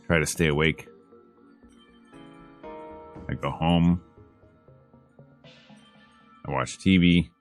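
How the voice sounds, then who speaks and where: A man's voice: a bass voice, steady tone, very slow, long pauses. A man reading a visual novel aloud as a streamer, dramatic in delivery with wry, joking asides, over game music. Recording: music behind the voice.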